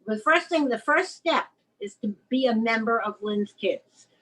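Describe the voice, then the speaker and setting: A middle-aged woman hosts a video call, her voice husky. She explains at length in an eager, open way. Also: a little high, expressive, a little slow, a bit bright, low-quality recording. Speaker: host, writing mentor. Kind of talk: casual video-call chat.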